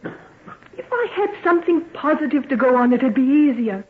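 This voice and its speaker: An actress playing a refined, earnest, loving gentlewoman in old-time radio drama. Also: high voice, expressive, normal speed. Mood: hurt, pleading. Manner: genteel, earnest. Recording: thin, phone-like old radio recording.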